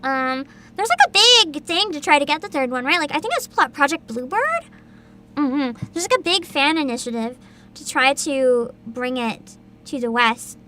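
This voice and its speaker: A woman's voice: sits high, lively intonation, moderate pace, sharp tone. A young woman streaming as a VTuber, chatting casually with her viewers, giggling often and reading game descriptions aloud. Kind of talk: casual livestream chat.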